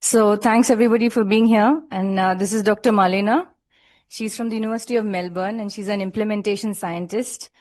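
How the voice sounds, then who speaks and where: A woman's voice: mid-range voice, fairly even intonation, brisk, a little mellow. Hesitant woman introducing a speaker. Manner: hesitant, filler-heavy. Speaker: PhD student introducing the speaker. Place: lecture room.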